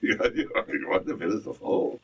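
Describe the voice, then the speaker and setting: A man's voice: low-pitched, very animated, moderate pace, a bit bright, breathy edge, dull audio. A man playfully laughing through flubbed game dialogue in studio outtakes. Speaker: voice actor in outtakes. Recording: distant, noisy archive clip.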